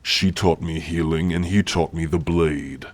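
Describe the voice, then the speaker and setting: A man voicing a stern, gruffly caring orc warrior-healer in an ASMR roleplay, speaking in a formal, commanding way. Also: extremely low pitch, steady tone, a little slow. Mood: gruff, guarded. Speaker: roleplay voice actor.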